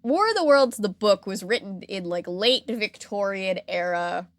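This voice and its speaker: A woman's voice: mid-range voice, unhurried, dry recording. Dry-miked woman chatting casually as host. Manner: animated retelling, acting out imagined reactions in quotes. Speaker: podcast host. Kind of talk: casual storytelling chat.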